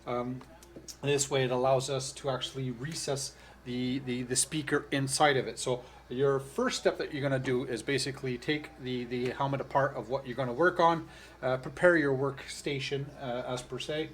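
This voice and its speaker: A man's voice: mid-range voice, fairly even intonation, normal speed. Casual man giving a hands-on tutorial. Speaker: host of a tutorial channel.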